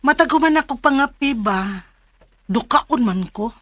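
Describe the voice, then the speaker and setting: A woman's voice: high voice, expressive, unhurried, noisy background. A woman with a Cebuano accent acting a weary, coffee-addicted working mother who confides her troubles in a radio drama. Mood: casual, defensive. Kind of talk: Philippine radio drama acting and first-person letter narration. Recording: music bed under the voice.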